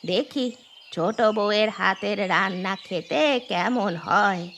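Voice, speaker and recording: Woman playing a bright, slow-spoken cartoon mother-in-law. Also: mid-range voice, gravelly, a little hiss or hum. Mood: doubtful. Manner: deliberate, exaggerated. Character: doubtful, easily scandalised mother-in-law, household matriarch. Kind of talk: Bengali cartoon storytelling voice acting.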